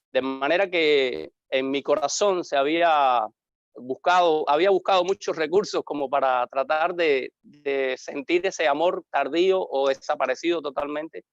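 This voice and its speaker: Cuban male pastor, clear and smooth voice, earnest reflective testimony, dull dry audio. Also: fairly high pitch, no echo. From Cuba. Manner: earnest, reflective storytelling with pauses. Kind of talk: interview, personal testimony.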